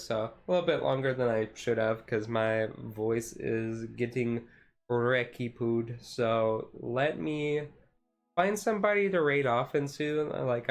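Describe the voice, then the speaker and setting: Male streamer, laid-back and rambling, informal slangy chat, little pitch movement. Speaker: livestreamer. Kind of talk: casual livestream chat.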